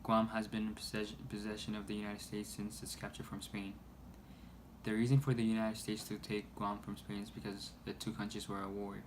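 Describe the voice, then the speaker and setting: A man reading a scripted news report aloud in a halting way, stumbling over words. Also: lowish voice, little pitch movement. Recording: noisy amateur recording.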